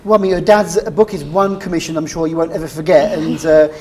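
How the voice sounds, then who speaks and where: A woman's voice: sits low, steady tone, quick pace, darkish tone, slight background noise. A woman from the UK hosting a TV interview, chatty and leading her guests with lots of "I mean". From United Kingdom. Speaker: TV talk show host. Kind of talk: broadcast interview.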